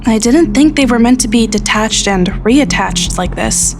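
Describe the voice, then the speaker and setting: A woman's voice: a little high, animated, moderate pace. A woman playing a curious, careful teenage girl investigating a mystery in an audio drama. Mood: alert. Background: music.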